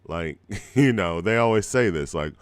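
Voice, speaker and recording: A man's voice: low voice, animated, measured pace, smooth, no room sound. A middle-aged man hosting a music show in a rambling, laid-back chat, his throat scratchy from a cold. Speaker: radio show host. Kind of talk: casual live show chat.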